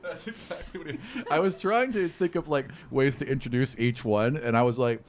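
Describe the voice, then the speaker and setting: A man's voice: mid-range voice, a little fast, a little hiss or hum. A man narrating a tabletop game as game master, improvising in a conversational, enthusiastic way.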